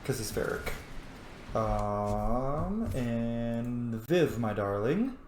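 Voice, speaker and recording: A man giving casual, chatty, sweary commentary as he plays a video game, thinking out loud. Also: mid-range voice, lively intonation, very slow. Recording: echoey room, faint background noise.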